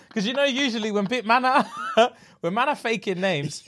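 A man's voice: high voice, expressive, moderate pace, fairly bright tone, a little hiss or hum. A man with a London British accent, co-hosting a podcast in casual, joking banter with plenty of slang and swearing. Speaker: podcast co-host.

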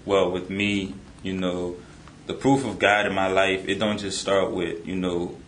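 Very low-voiced young man, echoey room. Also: measured pace, slight background noise. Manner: relaxed, many "you know" fillers. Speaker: podcast co-host. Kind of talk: casual faith conversation.

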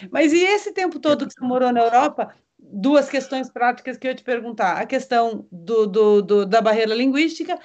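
A woman's voice: fairly high pitch, a little fast, a little mellow. A middle-aged Brazilian woman hosting a casual interview over a video call, chatty and conversational. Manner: chatty, conversational, asks questions. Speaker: host.

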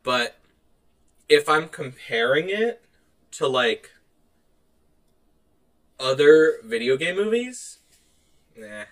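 A man's voice: fairly high pitch, very animated, slow, long pauses. A man co-hosting a podcast, chatting casually about movies in a blunt, opinionated way. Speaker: podcast co-host.

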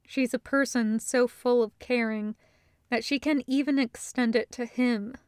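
Slow, smooth woman narrator, higher voice. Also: fairly even intonation, no echo, clean studio sound. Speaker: audiobook-style fiction reader. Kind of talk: scripted narration of a story.

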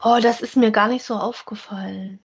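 Mid-pitched woman in casual conversation. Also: steady tone, moderate pace, vocal fry, breathy edge. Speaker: podcast co-host. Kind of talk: casual review chat about an audio drama.

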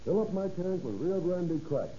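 Higher-voiced, curious motorist, old radio. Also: male voice, expressive, moderate pace. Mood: curious, doubtful. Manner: chatty, questioning. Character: curious, mildly skeptical male motorist customer. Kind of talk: 1930s radio advertisement acting. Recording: muffled old radio recording, faint hum.

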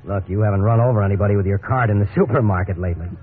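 Wry American husband, old tinny radio. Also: male voice, lowish voice, rapid-fire, slightly rough. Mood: baffled. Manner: wry, breezy, conversational. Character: cheerful, incredulous suburban husband, an encyclopedia salesman. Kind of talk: 1950s radio drama acting with wry first-person narration. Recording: tinny, hissy 1950s radio recording.

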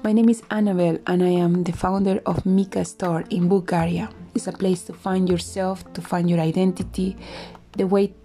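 Smoky-voiced woman, husky after a flu. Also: flat delivery, normal speed. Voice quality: smoky, low voice. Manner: reflective, chatty, with soft laughs and restarts. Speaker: podcast host. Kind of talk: casual solo podcast monologue.

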